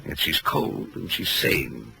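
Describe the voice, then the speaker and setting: A man's voice: a bass voice, expressive, gravelly, sharp tone, breathy edge, heavily acted. An actor playing an urbane, sardonic male novelist with arch, wordy delivery in a 1940s radio drama. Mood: arch, musing. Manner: wordy, arch, drawn-out phrasing. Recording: noisy old radio recording.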